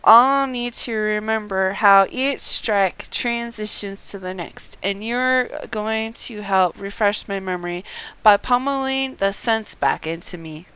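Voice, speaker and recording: A woman's voice: medium pitch, a little slow, pushed and bright, clean tone, rough recording. A woman voicing a hearty, determined old male martial arts trainer, reading game dialogue aloud with emphasis.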